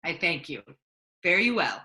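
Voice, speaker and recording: A woman playing a grave, courteous male ruler in a staged Shakespeare reading, formal and measured in phrasing. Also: fairly low pitch, sing-song, pushed and bright, heavily acted. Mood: brisk, courteous. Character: grave, courteous male ruler handing over his power.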